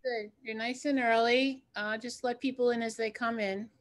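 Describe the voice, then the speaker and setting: A woman's voice: on the high side, fairly even intonation, normal speed. A woman hosting a book group over a video call, offering short, friendly and encouraging replies. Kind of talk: casual video call chat.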